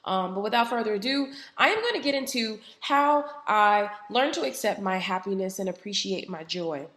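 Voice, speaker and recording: A woman hosts her own podcast and talks reflectively, with a voice that is slightly raspy from a head cold. Her pitch sits in a middle range, and she speaks casually, pausing often on "um." The sound is roomy and echoey. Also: expressive, a bit bright. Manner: conversational, frequent "um" fillers. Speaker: podcast host. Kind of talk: reflective solo podcast talk.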